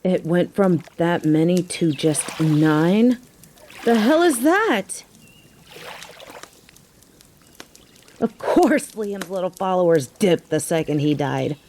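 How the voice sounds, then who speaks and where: A woman's voice: on the low side, big pitch swings, takes it slowly, darkish tone. A woman playing a tough, sardonic but caring young biker girlfriend in a roleplay audio drama, talking in casual slang with a teasing, wry manner. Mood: incredulous. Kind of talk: scripted roleplay audio drama, intimate one-sided conversation. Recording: faint background noise.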